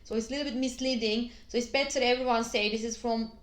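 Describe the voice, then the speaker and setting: A woman with a Turkish accent, a native Turkish speaker, explaining astrology to her channel's viewers, pausing often on fillers and restarting her phrasing. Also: fairly high pitch, fairly even intonation. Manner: hesitant, frequent fillers and restarts. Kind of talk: casual explanatory video. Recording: echoey room.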